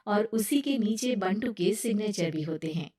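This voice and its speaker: A woman's voice: medium pitch, expressive, normal speed, warm tone. A woman narrating a scripted moral story for children.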